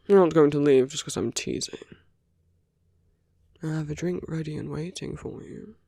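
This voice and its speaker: A man voicing a charming, flirtatious villain with a tender side in an intimate ASMR roleplay, soft and teasing, often dropping to a whisper. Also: a little high, steady tone, measured pace, gravelly, dry recording, studio quality.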